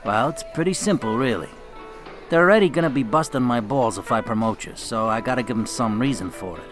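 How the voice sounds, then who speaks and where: Male actor as mob boss, mid-pitched, sardonic, commanding, quietly menacing, music underneath, radio drama. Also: expressive, brisk, lightly acted. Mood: cool, businesslike. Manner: dry, understated threats.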